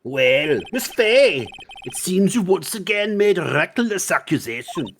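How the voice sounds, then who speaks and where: A man's voice: sits high, big pitch swings, measured pace, a bit bright, slight background noise, full character voice. A man playing a kindly, gullible older judge in a fan game dub, with a bumbling, solemn courtesy.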